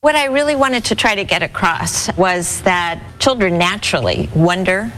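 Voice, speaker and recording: A woman's voice: on the low side, lively intonation, normal speed, fairly bright tone, poor audio. A woman explaining her ideas thoughtfully in an interview clip.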